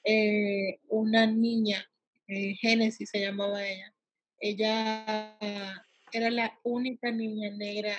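A woman from the United States giving conversational interview answers, pausing often as she searches for words. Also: mid-range voice, little pitch movement, dull audio. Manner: halting, searching for words with drawn-out pauses. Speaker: interviewee, salon worker and empowerment advocate for girls.

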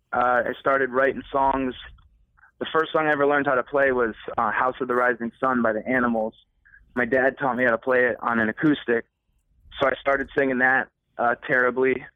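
A man's voice: mid-range voice, no room sound. A man musician answering interview questions in a rambling, casual way with lots of fillers. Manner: rambling, many fillers and restarts. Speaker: musician guest. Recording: tinny phone line.

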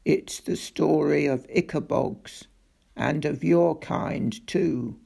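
Deep slow gentle giant creature for a storybook, voiced by a woman, gravelly and dark. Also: full character voice. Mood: solemn. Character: huge gentle old monster, slow and solemn.

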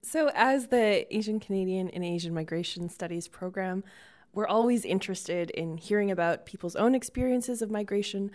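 A woman's voice: mid-range voice, moderate pace, darkish tone. A woman interviewer asking a guest a question in a polite, slightly hesitant way.

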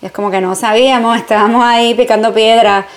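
A woman's voice: fairly high pitch, steady tone, fast talker. A woman from Puerto Rico with a Puerto Rican accent, a journalist answering interview questions in a reflective, conversational way, mixing in English phrases. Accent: Puerto Rican Spanish. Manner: reflective, mixes in English phrases, frequent fillers. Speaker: journalist and entrepreneur, guest.

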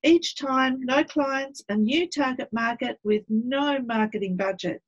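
A high-pitched woman hosts an interview. Her voice is breathy. She sounds upbeat as she introduces her guest and hesitates with fillers in her questions. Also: measured pace, muffled recording. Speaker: interview host. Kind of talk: hosted interview.

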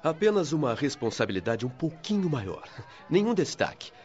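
An actor playing a courteous, modest male guide speaking softly in a radio drama. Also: fairly high pitch, big pitch swings, moderate pace, dull audio. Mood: gentle. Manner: soft-spoken, courteous, humble.

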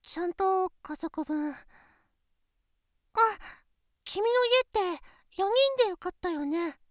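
A woman's voice: very high-pitched, animated, slow pace, dry recording, exaggerated voice. A voice actress playing a shy, sweet teenage girlfriend who stammers when flustered, in a close-miked situation voice drama. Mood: cheerful.

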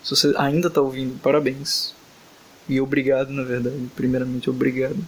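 A tired young man hosts a casual podcast, reading a fanfic aloud and grumbling about it in an exasperated, joking way. His voice sits at an ordinary pitch, with a faint hiss underneath the recording. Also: little pitch movement, brisk, low-quality recording. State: tired late at night. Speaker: podcast host.

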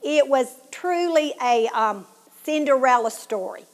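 A woman with a Tennessee accent hosting her own show, giving an earnest personal testimony and dropping to a hush at painful moments. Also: high-pitched, animated, a little slow. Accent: Southern US, Tennessee. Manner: earnest, heartfelt, drops to a hushed tone at painful moments. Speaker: show host.

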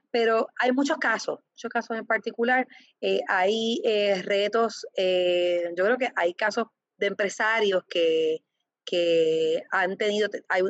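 A woman representing a foundation, answering interview questions with many pauses, fillers and restarts. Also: mid-range voice, no room sound. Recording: dull lo-fi dry sound.

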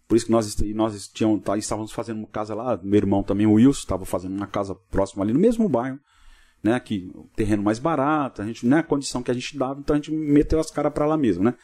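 A middle-aged Brazilian man from São Paulo state talks as a podcast guest. His voice is soft-edged and relaxed in tone, yet the words come very quickly. He chats informally, dotting his stories with short tag questions. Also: on the low side. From Cubatão, São Paulo coast, Brazil. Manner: peppers talk with tag questions. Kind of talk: informal interview storytelling.

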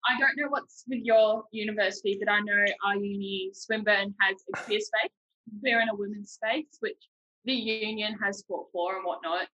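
Young woman, New Zealand accent, bright tone, husky edge, casual chatty host, informal. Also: medium pitch, moderate pace, dull audio. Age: young adult. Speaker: podcast host, university student. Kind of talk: casual chat.

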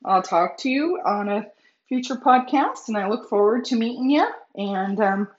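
A woman hosting her own podcast, talking casually in a loud, emphatic voice with many restarts. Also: fairly high pitch, expressive, normal speed, muffled recording. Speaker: podcast host. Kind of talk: casual solo podcast monologue.

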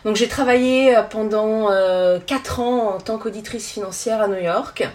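A woman's voice: mid-range voice, measured pace, darkish tone. A woman in her thirties from France with a French accent, giving conversational answers as a podcast guest. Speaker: podcast guest, tour guide.

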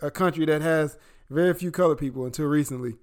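A young adult man from the American Midwest co-hosting a casual political podcast, profane and emphatic, swinging between loud outbursts and low asides. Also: fast. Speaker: podcast co-host.

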